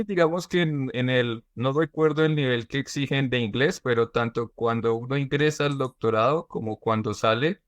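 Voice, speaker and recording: A man, a doctoral student, giving measured interview answers with frequent fillers. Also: mid-range voice, no echo. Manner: measured, with "digamos" and "entonces" fillers. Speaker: PhD student.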